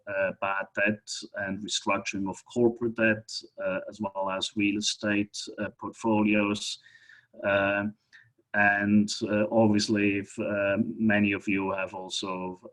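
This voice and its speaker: A man's voice: on the low side, a bit bright, low-quality recording. A middle-aged man from Switzerland giving a webinar presentation as a guest speaker, talking hesitantly with many fillers and restarts.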